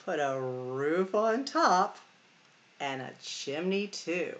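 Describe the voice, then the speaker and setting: A middle-aged woman hosting a library storytime, reading picture books aloud in a deliberate, expressive way for young children. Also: low voice, highs cut off. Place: small room at home or office, indoors.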